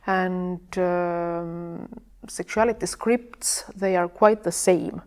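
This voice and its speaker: A woman with an Estonian accent, speaking English as a second language. She is a sexual health educator being interviewed, and she talks haltingly, with many fillers as she searches for words. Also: lowish voice, fairly even intonation, darkish tone, smooth. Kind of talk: podcast interview.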